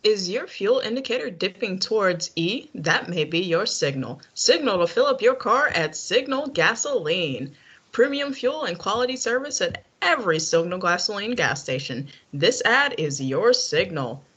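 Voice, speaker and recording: A woman's voice: medium pitch, very animated, normal speed, fairly bright tone, breathy edge. A young woman playing an earnest, peppy announcer in a parody radio commercial. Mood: salesy. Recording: dull, muffled recording.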